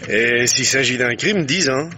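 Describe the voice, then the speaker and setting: An actor playing a shrewd police commissioner in a 1950s radio drama, speaking in a relaxed, casual way. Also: male voice, medium pitch, a little slow, natural voice. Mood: probing. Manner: relaxed, casual, quietly curious. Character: male police chief on a hunting holiday, shrewd. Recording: old radio recording with hiss.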